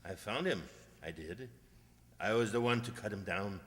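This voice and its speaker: Solemn, folksy postman played by a man, radio drama. Mid pitch, forceful bright tone, reverberant hall. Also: moderate pace, natural voice. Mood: grim. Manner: folksy, emphatic, repeats himself. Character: plain-spoken village postman, solemn and folksy.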